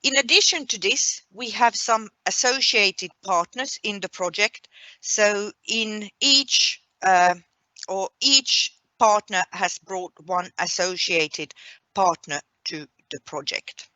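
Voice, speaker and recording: Woman, webinar presenter, bright clear-cut tone, unhurried pace, explanatory delivery. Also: medium pitch, dry recording, low-quality recording. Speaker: project coordinator hosting a webinar.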